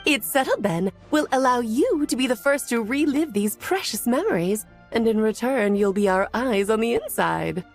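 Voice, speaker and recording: An elegant game sorceress, with a highish, very lively voice. She is whimsical and self-assured, speaking in a breezy, genteel, teasing way over faint music. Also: normal speed, hardly any pauses. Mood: confident. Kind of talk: anime game voice acting.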